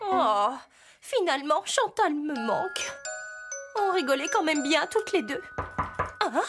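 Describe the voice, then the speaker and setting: A small, fussy girl ant in a children's cartoon. Her very high voice is animated and unhurried, emphatic, with music behind it. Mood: fond, softened. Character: fussy, scolding but fond little female cartoon ant.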